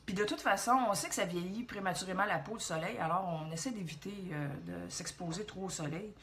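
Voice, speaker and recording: Québécois woman, 40s, bright tone, chatty rambling makeup tutorial host. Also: medium pitch, brisk. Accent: Quebec French. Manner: chatty, rambling, thinks aloud.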